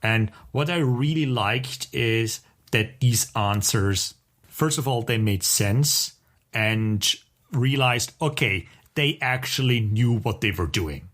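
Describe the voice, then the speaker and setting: A man speaks as a podcast co-host in a casual, conversational style. His voice sits at an average pitch, and he talks haltingly, working out his phrasing as he goes. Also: fairly bright tone. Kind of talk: casual podcast chat, recommending TV shows.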